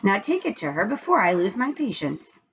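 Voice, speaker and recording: Casting: woman reader as a stern, bossy lady teacher from a children's book, emphatic swings in pitch. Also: sing-song, normal speed. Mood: impatient. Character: brisk, bossy female schoolteacher. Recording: dull lo-fi recording with background noise.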